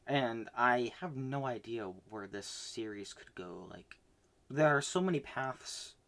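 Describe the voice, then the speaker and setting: A man casually recapping the manga he read this month, rambling with many fillers and restarts, swinging between loud bursts and soft mumbles. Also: mid-range voice, expressive, measured pace. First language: not Japanese. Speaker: manga reviewer.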